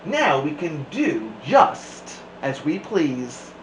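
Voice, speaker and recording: A man voicing excitable, mischievous schoolchildren in a storybook reading. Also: fairly high pitch, extremely slow, full character voice. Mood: gleeful. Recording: echoey room, faint background noise.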